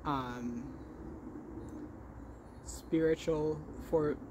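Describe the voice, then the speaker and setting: Halting, higher-voiced man with a stutter. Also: steady tone, noisy background. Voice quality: stutter with repeated sounds and blocks. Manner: halting, self-correcting. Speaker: vlog host showing crochet work. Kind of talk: casual podcast-style chat.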